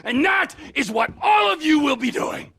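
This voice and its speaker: An actor voicing a manic, foul-mouthed male crime boss who lurches from whispers to shouts while briefing a game heist. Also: extremely high pitch, very animated, moderate pace, vocal fry, exaggerated voice. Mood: scheming.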